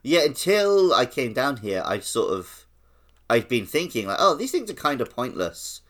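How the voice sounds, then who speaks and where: A man's voice: medium pitch, very animated, moderate pace, a bit bright. A man speaking casually and informally as a podcast co-host in a gaming discussion.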